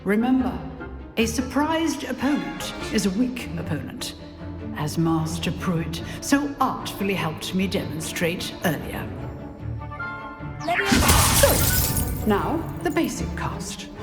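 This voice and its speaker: Lively old witch professor. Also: medium pitch, takes it slowly, pauses often. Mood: brisk, instructing. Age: older. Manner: dry, commanding, theatrical instruction. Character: sharp, proud old witch professor and seasoned duellist. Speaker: teacher. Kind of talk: scripted video game acting. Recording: long hall reverb, music behind.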